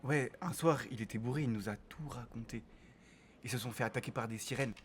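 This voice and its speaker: Un acteur joue un marin jovial et taquin, au parler familier, dans une fiction sonore. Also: male voice, medium pitch, brisk, slight background noise, lightly acted. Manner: bantering, colloquial. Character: teasing, jovial sailor at cards with crewmates. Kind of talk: audio fiction dialogue.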